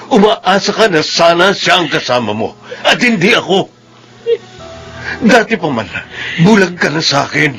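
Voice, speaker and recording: Radio drama husband: high voice, wide emotional swings, wounded and jealous. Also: male voice, a bit bright, exaggerated voice. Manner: emotional, impassioned delivery. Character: Clyde, wounded, jealous husband of an adulterous wife. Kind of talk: Filipino radio drama acting.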